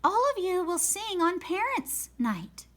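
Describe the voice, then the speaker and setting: A cheerful female cat schoolteacher from a picture book, as a woman reading aloud puts her on. Her voice rides high and swoops up and down in a bright, sing-song way. She coaxes her pupils along gently. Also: sing-song, slow, full character voice. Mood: encouraging.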